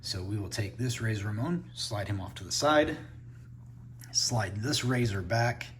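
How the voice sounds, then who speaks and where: A man's voice: lowish voice, animated, measured pace, slight background noise. A man giving a casual unboxing review, thinking aloud as he handles the toys. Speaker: toy reviewer.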